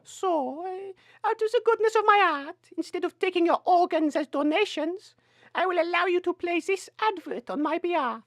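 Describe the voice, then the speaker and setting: A woman's voice: very high-pitched, expressive, moderate pace, dry recording, studio quality. A woman playing a cheerfully menacing quack lady doctor with French phrases in a theatrical comedy sketch. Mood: smugly sinister. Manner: theatrical, politely sinister. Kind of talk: audio sketch comedy acting.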